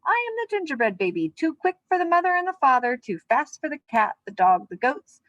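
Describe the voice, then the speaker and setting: A cheeky little gingerbread baby from a storybook, voiced by a woman reading aloud. The voice is lifted high and sing-song, boasting and taunting in rhyme. The sound is dry and close. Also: very animated, brisk, fairly bright tone, dry recording, muffled recording. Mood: boastful.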